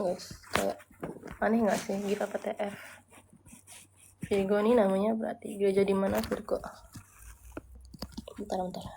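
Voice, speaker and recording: A woman's voice: medium pitch, background noise. Young woman from Bali, chatty streamer, answers her viewers' comments and drops in English. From Bali, Indonesia. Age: young adult. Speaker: livestreamer. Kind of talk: casual live-stream chat. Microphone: phone.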